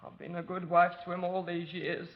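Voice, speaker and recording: A woman acting a stiff, downtrodden housemaid wife in a radio drama, quiet and reserved. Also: fairly low pitch, flat delivery, a little fast, lightly acted. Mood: defensive. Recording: muffled old radio recording.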